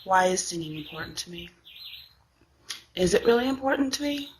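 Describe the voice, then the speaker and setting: A woman who sings, speaking in a reflective personal monologue with a weak, raspy voice and a thoughtful, questioning manner. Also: lowish voice, lively intonation, a little fast, slight background noise. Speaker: singer.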